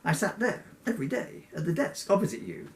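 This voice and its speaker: Exasperated male spectre, played by a man reading aloud, pitched up and animated, pleading with indignation, comic storybook. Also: a little fast, far from the mic. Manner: archaic, insistent, increasingly exasperated. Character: wretched, indignant male ghost of business partner.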